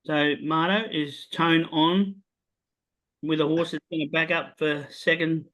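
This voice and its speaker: Animated Australian man selling his racing tip. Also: a little slow, rough recording. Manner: animated salesman pitch, many "um" fillers. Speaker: racing tipster host. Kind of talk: casual podcast chat about horse racing.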